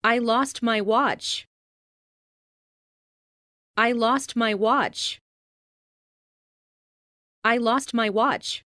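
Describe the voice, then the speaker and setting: A woman reading scripted English example sentences for language learners, enunciating carefully and deliberately. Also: a little high, bright, forceful tone, no room sound, studio quality. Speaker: English lesson narrator.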